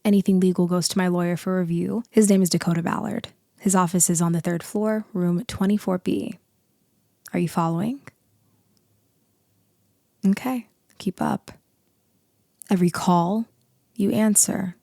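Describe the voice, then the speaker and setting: Low, creaky, commanding lady boss played by a woman. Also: steady tone, no room sound, studio quality. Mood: brisk, authoritative. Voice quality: deep, slightly creaky, hushed in places. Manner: slow, deliberate, commanding, with weighty pauses. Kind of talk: ASMR roleplay acting.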